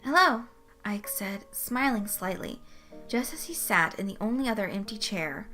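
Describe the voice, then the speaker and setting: A woman's voice: mid-range voice, animated, moderate pace, a bit bright. Woman narrating a story over music. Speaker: fan fiction narrator.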